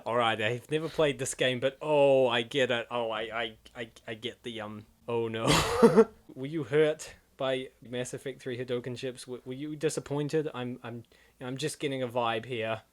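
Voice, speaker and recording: A man hosting a comic dub with excitable, rambling, casual reaction commentary. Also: mid-range voice, moderate pace.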